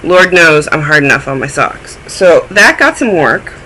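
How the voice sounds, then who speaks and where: Male podcast host, a touch phlegmy, chatty and self-mocking, with a sharp, bright tone. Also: on the high side, sing-song, unhurried, a little hiss or hum, poor audio. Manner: chatty, rambling, self-deprecating. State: a little phlegmy. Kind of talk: casual chat.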